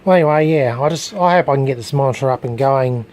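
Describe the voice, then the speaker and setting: A man gives a casual, unscripted hands-on demonstration as a hobbyist restorer, talking haltingly and searching for his words. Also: medium pitch, a little mellow. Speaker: hobbyist electronics restorer presenting a video.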